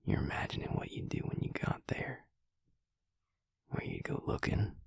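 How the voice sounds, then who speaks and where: A man playing a protective, brooding vampire lover in an intimate audio roleplay, with a husky, breathy voice and a hushed, intense, deliberate delivery. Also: dry recording, whispered, clean studio sound, lightly acted. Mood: knowing, tense. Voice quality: husky, breathy, gravelly low voice. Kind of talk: intimate audio roleplay acting, speaking to the listener.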